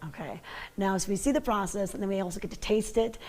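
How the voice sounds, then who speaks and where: A woman reporting in the field, guiding an on-location interview with brisk, affirming replies. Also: medium pitch, a little mellow.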